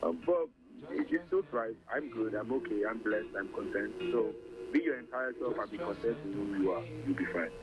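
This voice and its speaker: A man who is a rapper, answering as a guest on a radio interview in a reflective way, often pausing with "um". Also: fairly low pitch, low-quality recording. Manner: reflective, hesitant, many "um"s.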